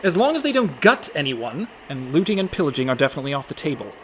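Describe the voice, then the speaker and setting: A man's voice: on the high side, big pitch swings, quick pace, a bit bright, a little hiss or hum. An actor in a comic radio play, playing a sardonic, fretful con man posing as a pirate merchant. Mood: dry worry. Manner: dry, exasperated, worrying.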